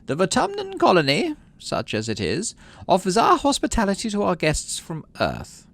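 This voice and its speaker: A man voicing a prim, firm older woman who governs a colony in a sci-fi game, speaking in a measured, diplomatic way. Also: high voice, wildly swooping pitch, gravelly, a bit bright, pitched above the performer's own voice, full character voice. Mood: prim, composed.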